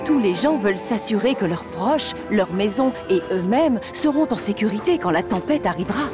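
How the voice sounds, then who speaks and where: Une femme qui raconte un dessin animé pour enfants, sur un ton clair de conteuse. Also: female voice, medium pitch, expressive, moderate pace, over loud music. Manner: clear, storytelling. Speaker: narrator. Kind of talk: scripted children's story narration.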